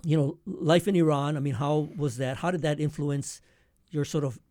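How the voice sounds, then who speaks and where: A man hosting a conversational radio interview, speaking in a halting way as he searches for his words. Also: on the high side, steady tone, warm tone, no room sound, clean studio sound. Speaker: radio show host.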